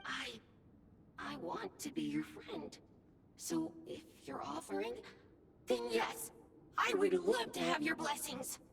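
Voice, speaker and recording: A woman voicing a shy, earnest little dragon in a video game, with a creaky voice and hesitant delivery. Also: high-pitched, very animated, slow pace, long pauses, exaggerated voice. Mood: timid, hopeful. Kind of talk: emotional video game voice acting. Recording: echoing long reverb, faint noise.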